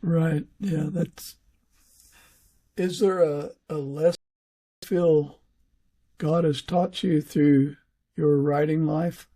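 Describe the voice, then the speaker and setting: A man with a soft-edged, mellow voice pitched a little above average. He hosts in a casual conversational way, easing tentatively into questions and agreeing in brief replies. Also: fairly even intonation, slow, long pauses. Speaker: podcast host interviewing a guest. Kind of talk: casual interview chat.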